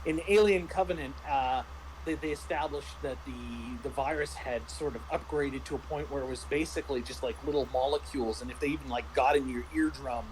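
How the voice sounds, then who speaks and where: A man talking casually as a game master discussing a roleplaying game, explaining in a halting, rambling way. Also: mid-range voice, darkish tone, a little hiss or hum, low-quality recording.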